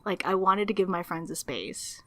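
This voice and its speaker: A woman in her thirties from the Philippines, a podcast guest telling her story in a casual, animated way, laughing often and acting out her past thoughts. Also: on the low side, normal speed, dry recording.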